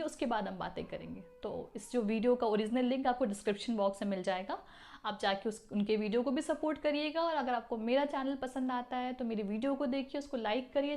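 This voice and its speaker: A woman with a somewhat high voice talks to her viewers as a video host. Her delivery is casual and explanatory, like an informal chat. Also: darkish tone.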